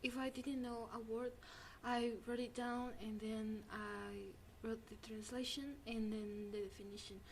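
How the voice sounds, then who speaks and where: Young female student, Spanish first language; unhurried, halting answers, faint room noise. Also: on the high side, flat delivery, vocal fry, poor audio. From Spanish-speaking country. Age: young adult. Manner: hesitant, many fillers and restarts. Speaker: student guest. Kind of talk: interview answers.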